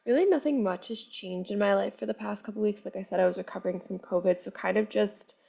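A young adult woman hosting her own podcast, chatting casually with lots of fillers, her voice slightly raspy while she recovers from illness. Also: medium pitch, quick pace, warm tone. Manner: chatty, upbeat, many "like" and "you know" fillers. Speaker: podcast host. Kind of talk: casual solo podcast chat. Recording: dull lo-fi sound.

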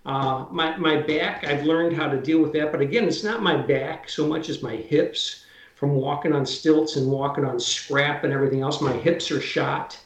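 A man's voice: fairly high pitch, normal speed, echoey room. A man in his sixties, a drywall taper, chatting casually as an interview guest and explaining his work in hands-on detail. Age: 60s.

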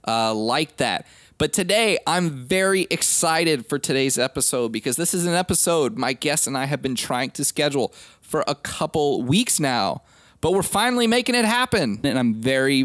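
A man's voice: medium pitch, fairly bright tone, dry recording. A young man from Nebraska hosting a podcast, chatting casually with upbeat, rambling enthusiasm. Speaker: podcast host.

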